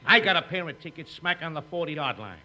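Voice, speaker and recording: A brash street hustler selling tickets in a 1950s radio comedy. His slangy patter is very sharp and swings up and down in a lively way. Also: on the high side, a little fast. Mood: pushy, sly. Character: brash, fast-talking male ticket scalper.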